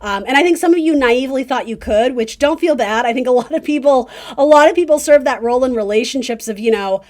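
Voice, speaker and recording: A woman's voice: high voice, quick pace, darkish tone. A woman hosting a casual tarot reading, talking directly to the listener with encouraging, conversational advice. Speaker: tarot reader and video host.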